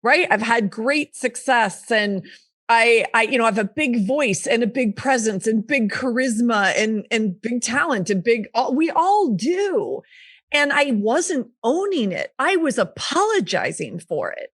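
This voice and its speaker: A woman's voice: fairly high pitch, sing-song, measured pace, a bit bright, breathy edge, dry recording, clean studio sound. A woman in her fifties, a coach and speaker, talking frankly and emphatically as a podcast guest, with the odd swear word. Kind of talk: candid conversational interview.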